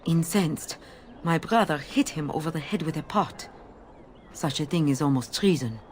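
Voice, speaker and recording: Low-voiced French-accented flower seller played by a woman. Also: a little hiss or hum, natural voice. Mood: guarded, bitter. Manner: coaxing, measured, formal. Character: genteel Orlesian flower and scented oil seller, woman. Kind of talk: video game voice acting.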